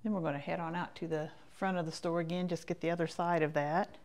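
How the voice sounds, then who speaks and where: Lady vlogger doing a rambling shop tour, gravelly voice, kinda low, chatty and folksy. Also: fairly even intonation, fast, a little mellow. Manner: chatty, folksy, trailing off between short remarks. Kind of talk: casual rambling tour commentary. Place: indoors, walking through a quilt shop.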